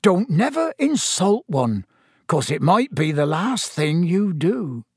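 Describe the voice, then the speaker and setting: A man voicing a huge, hearty, enthusiastic creature-keeper in an audiobook, with booming, folksy, ungrammatical speech. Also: big pitch swings, slow, husky, dry recording, studio quality, exaggerated voice. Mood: earnest instruction. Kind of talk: audiobook character voice.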